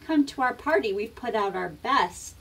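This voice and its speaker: A woman's voice: on the high side, lively intonation, normal speed, fairly bright tone, recorded from a distance. A woman storyteller voicing a bossy witch hostess from a storybook, theatrical and coaxing. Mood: inviting.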